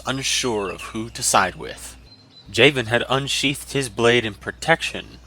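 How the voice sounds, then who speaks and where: A man's voice: medium pitch, bright, forceful tone, slight background noise. A man narrating a fantasy story in a dramatic, scripted audiobook style.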